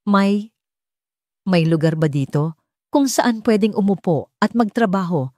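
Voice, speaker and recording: A woman voicing a calm, weary and reserved young father in an audiobook, speaking quietly. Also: mid-range voice, unhurried, no echo, studio quality, close to an everyday voice. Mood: calm, tired.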